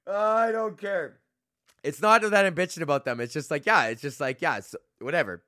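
A man's voice: fairly high pitch, moderate pace, sharp tone, dry recording, clean studio sound. A young man from Canada, hosting his own podcast, rambling in a loose, profane rant packed with "like". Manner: loose and profane, heavy use of "like". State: recovering from losing his voice. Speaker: podcast host. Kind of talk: casual solo rant.

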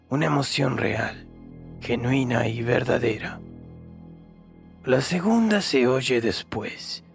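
Guy narrating horror fiction, unhurried and dramatic, creaky voice, music under it. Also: mid-range voice. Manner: measured, dramatic storytelling.

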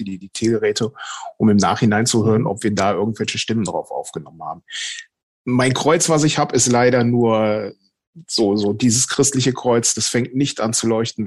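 A man answering as a podcast guest, explaining in a conversational, somewhat halting way. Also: lowish voice, dry recording. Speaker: podcast guest, paranormal investigator.

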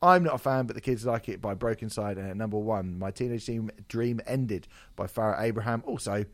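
A man hosting a casual music podcast, jokey, sweary and self-deprecating, his voice a little hoarse from a sore throat. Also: lowish voice, a little fast, no echo. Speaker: podcast host.

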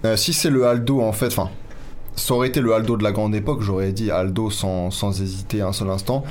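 A man giving his view in a casual sports discussion, haltingly restating his phrases in a conversational way. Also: lowish voice, fairly even intonation, darkish tone, slight background noise. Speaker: sports panelist.

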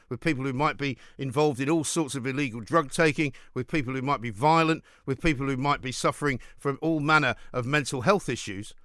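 A man's voice: mid-range voice, pushed and bright, dry recording, clean studio sound. A British man hosting a talk radio show, giving opinions in an emphatic, sardonic, rhetorical way.

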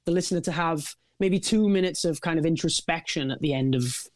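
High-voiced man, earnest and reflective. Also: brisk, no echo, clean studio sound. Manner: earnest, reflective, says "you know" and "man" a lot. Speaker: vocalist and songwriter guest. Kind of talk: casual podcast conversation.

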